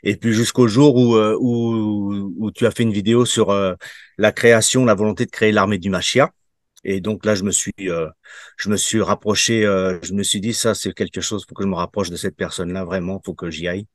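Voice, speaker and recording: Hesitant low-voiced man in his fifties. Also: fairly even intonation, no room sound, clean studio sound. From Portugal. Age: 50s. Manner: halting, many fillers and restarts. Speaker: program participant giving a testimonial. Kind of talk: hesitant interview answers.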